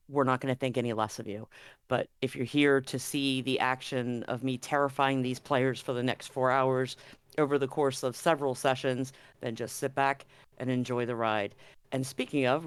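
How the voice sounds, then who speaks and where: A man with a smooth, resonant voice hosts and narrates a tabletop roleplaying game. He speaks at a middling pitch as game master, and the sound is dry with very little room in it. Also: dry recording.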